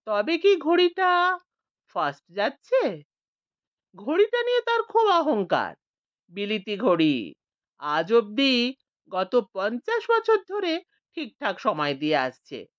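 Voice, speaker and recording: Woman reading a story out loud real slow, voice swoops way up and down, dull dry sound. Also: a little high, dry recording. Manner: expressive storyteller voicing many village characters. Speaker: narrator. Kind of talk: scripted audiobook narration.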